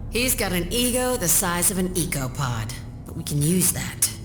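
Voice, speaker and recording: A woman voicing a tough, shrewd wasteland leader in a video game, with a brisk, commanding, streetwise manner. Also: lowish voice, big pitch swings, background noise. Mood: brisk, scheming. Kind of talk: video game cutscene acting. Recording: roomy echo, music behind.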